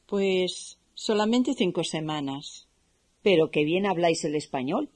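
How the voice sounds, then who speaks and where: Woman playing a polite spanish lady, castilian accent, slow clear course dialogue. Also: fairly low pitch, lively intonation, darkish tone. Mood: friendly. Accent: Spanish from Spain. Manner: slow and clearly spoken for learners. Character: Spanish woman, Mrs. Serrano, polite and friendly. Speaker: dialogue speaker in a language course. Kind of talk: scripted language-course dialogue.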